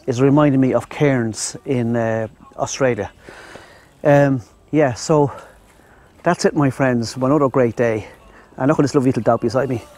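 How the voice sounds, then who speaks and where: A man's voice: mid-range voice, darkish tone. Chatty Irish man vlogging outdoors. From Ireland. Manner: rambling, chatty, addresses viewers as "my friends". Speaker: travel vlogger. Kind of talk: casual travel vlog to camera. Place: outdoors in Thai countryside. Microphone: lavalier mic.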